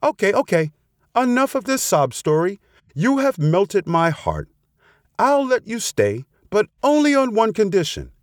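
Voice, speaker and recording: A man's voice: high voice, wildly swooping pitch, a little slow, no room sound, clean studio sound, voice pushed up, full character voice. A man voicing a wily, haughty female fox who bullies and schemes, in a storybook tale. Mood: smug, bargaining. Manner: haughty, bullying. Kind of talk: storybook character voice.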